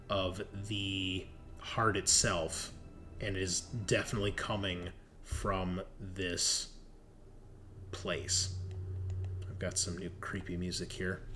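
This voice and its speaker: Slow, low-voiced man as game master. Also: a bit bright, a little hiss or hum. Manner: halting, with drawn-out pauses as he describes scenes. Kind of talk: improvised narration of a horror tabletop game.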